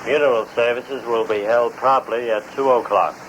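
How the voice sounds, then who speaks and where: A man's voice: medium pitch, fairly even intonation, moderate pace. A man reading local news as a newscaster on an old radio recording. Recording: dull, faintly noisy old radio.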